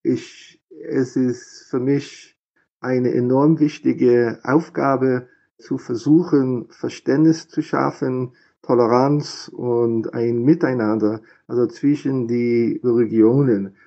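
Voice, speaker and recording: A man's voice: medium pitch, steady tone, slow pace, dark tone, muffled recording. A middle-aged man from Philadelphia with an American accent, a rabbi answering interview questions in a hesitant way, with many fillers and restarts. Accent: American English. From USA, Philadelphia. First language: English. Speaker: rabbi, guest.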